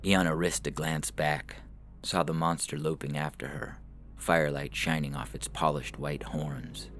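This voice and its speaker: A man reading scripted dramatic fiction as a narrator, with measured, deliberate phrasing. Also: rumbling low, little pitch movement, fairly bright tone. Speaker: story narrator. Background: music.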